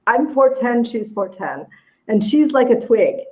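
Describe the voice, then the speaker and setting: A woman's voice: fairly high pitch, sing-song, measured pace, poor audio. A woman in her fifties chatting casually about family, laughing often. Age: 50s. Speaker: guest author. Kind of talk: casual chat.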